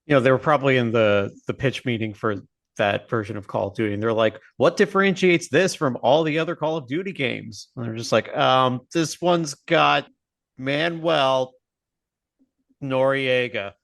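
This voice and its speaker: Gravelly man in casual podcast chat. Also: medium pitch, normal speed, a bit bright, no echo. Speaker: podcast co-host.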